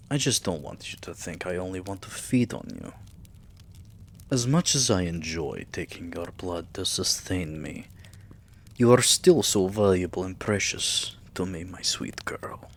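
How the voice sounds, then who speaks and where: A man playing a tender, possessive vampire king in an intimate roleplay ASMR, speaking softly and breathily with courtly endearments and often dropping into a whisper. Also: low voice, animated, measured pace, gravelly, full character voice. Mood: reassuring, affectionate. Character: tender, possessive male vampire king doting on his human pet.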